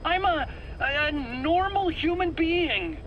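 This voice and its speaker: High-pitched stammering cowardly scientist. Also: male voice, lively intonation, slow, dull audio, heavily acted. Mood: frightened, protesting. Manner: stammering, pleading, whiny. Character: nervous, defensive, cowardly male scientist under interrogation. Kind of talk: video game cutscene acting. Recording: faint background noise.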